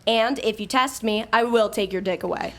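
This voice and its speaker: An impatient young coder who cuts people off with sarcasm, played by a woman. Her voice sits a little high and her manner is blunt throughout. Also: moderate pace, fairly bright tone, natural voice. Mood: irritated.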